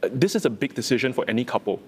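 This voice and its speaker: A man gives his view as a guest. He talks quickly, often tagging phrases with "right?", in a space with long reverb. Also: medium pitch, lively intonation, slightly rough. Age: 30s. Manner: ends phrases with a checking "right?". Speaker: panel guest.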